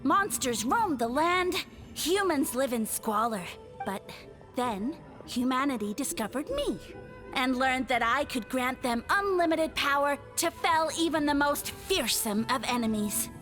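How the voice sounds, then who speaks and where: High-voiced pompous mushroom lord. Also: female voice, expressive, a little slow, fairly bright tone, faint music behind. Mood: grandiose, persuasive. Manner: grandiose, formal speechifying. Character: pompous mushroom sage lord demanding worshippers. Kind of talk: video game voice acting.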